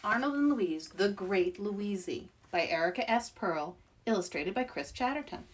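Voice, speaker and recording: A woman's voice: medium pitch, expressive, moderate pace, distant mic, slight background noise, low-quality recording. A woman hosting story time for young children, reading aloud expressively and speaking directly to her listeners.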